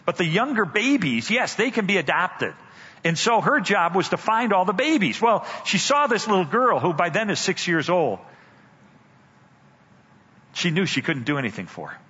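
An older man, a guest speaker, telling a story in a sermon with dramatic pauses and emphasis. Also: sits high, very animated, bright, forceful tone, slightly rough. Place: church sanctuary. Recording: dull church hall reverb.

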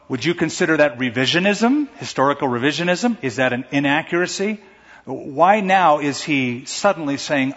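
A man preaching a sermon to a congregation in a conversational, animated way, sometimes acting out stammering quotes. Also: on the high side, slightly rough. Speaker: pastor. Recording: dull sound in a large reverberant hall.

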